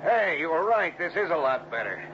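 An American actor playing an excitable, earnest male sidekick in an old radio adventure drama. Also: on the high side, normal speed. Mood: eager. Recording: muffled, noisy vintage radio recording.

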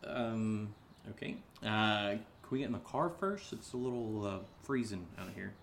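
An actor playing a dazed, sarcastic young man in a naturalistic horror audio drama, speaking haltingly with sighs. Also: lowish voice, expressive, gravelly, fairly bright tone, slight background noise, heavily acted. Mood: hesitant. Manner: halting, hesitant, sighing.

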